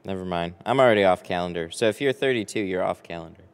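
An American man in his early thirties chatting casually on a livestream, halting and easygoing as he thinks aloud. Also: low-pitched, clean tone. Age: early 30s. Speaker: livestream host, window tinter. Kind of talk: casual livestream chat, answering viewers. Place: large garage workshop with a boat. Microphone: close microphone on boom arm. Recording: long hall-like reverb.

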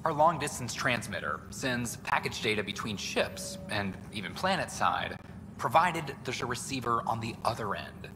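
A weary, eager news reporter. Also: male voice, mid-range voice, very animated, background noise. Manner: eager, fast-talking, a little weary. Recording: long reverb, game ambience behind.

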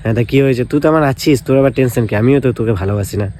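A man's voice: medium pitch, very fast, warm tone, a little hiss or hum. A young man in casual, provocative phone banter, needling and dismissive, with asides to viewers. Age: young adult. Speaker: prank video creator. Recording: music behind the voice. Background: music.

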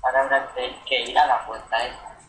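A man's voice: medium pitch, level tone, brisk, a bit bright. A man, casually giving hints to a friend playing a game over a voice call. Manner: offhand helper giving hints. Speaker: co-commentator friend. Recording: roomy voice call with faint noise.

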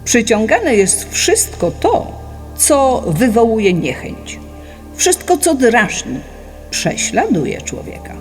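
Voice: medium pitch, huge pitch swings, takes it slowly, heavily acted. A narrator reading a scripted philosophical audiobook in an explanatory, didactic manner. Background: music.

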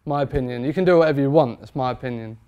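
A man's voice: medium pitch, very fast, mellow, smooth. A man, a barber chatting enthusiastically about trimmers in a barber shop. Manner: enthusiastic, emphatic, informal. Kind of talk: product review chat.

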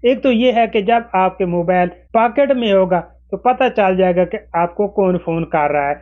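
Male tutorial presenter, forties, high voice, instructional step-by-step delivery, slightly dull lo-fi recording. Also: moderate pace. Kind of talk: explanatory phone tutorial to camera. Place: small indoor room with desk.

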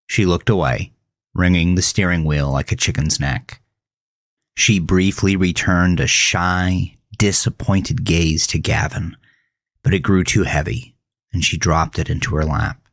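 Deep, slow male horror storyteller. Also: dry recording, clean studio sound. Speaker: narrator. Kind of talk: scripted horror-thriller story narration.

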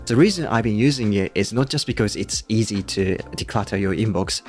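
A man in his thirties with a Japanese accent, presenting a scripted review to camera into a close microphone. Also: fairly low pitch. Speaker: YouTube tech reviewer. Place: small room indoors. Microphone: close podcast-style microphone on a boom. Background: background music.

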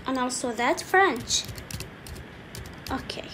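A woman teaching an English lesson slowly, repeating each word and saying "okay" often, with keyboard typing faintly behind her. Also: sits high, expressive, noisy background. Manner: slow, repetitive, saying each word twice with frequent "okay". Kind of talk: slow screen-recorded language lesson.

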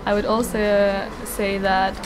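A woman's voice: medium pitch, level tone, takes it slowly, background noise. Russian-accented young woman in a cafe. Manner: thoughtful, hesitant, many fillers and laughs. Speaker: language teacher and vlogger. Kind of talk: casual cafe chat. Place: busy cafe indoors. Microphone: desk microphone in front.

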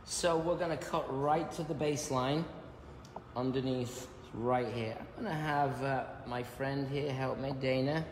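Chatty croaky-voiced man teaching haircuts. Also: mid-range voice, fairly even intonation, unhurried. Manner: chatty, joking, teaching as he cuts. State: slightly croaky voice. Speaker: hairdresser and educator. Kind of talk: live haircut demonstration. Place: large bright hair salon, indoors. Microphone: phone camera held nearby. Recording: echoey salon room with noise.

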